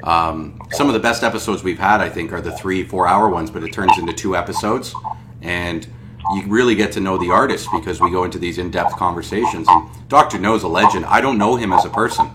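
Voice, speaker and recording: Low-voiced host with noise behind. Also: male voice, fairly quick. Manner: enthusiastic, rambling, with many fillers. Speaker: podcast host. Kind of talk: podcast interview.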